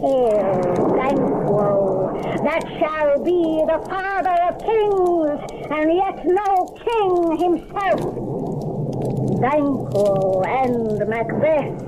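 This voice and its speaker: A woman's voice: high voice, expressive, drawn-out words, very noisy, heavily acted. A woman playing a withered, eerie witch who chants ominous prophecies like incantations in an old-time radio drama. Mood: prophetic.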